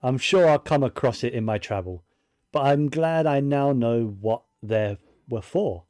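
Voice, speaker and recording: A man's voice: medium pitch, lively intonation, unhurried, a little mellow, no echo, studio quality. A man giving casual video game commentary, musing and thinking aloud to himself as he plays.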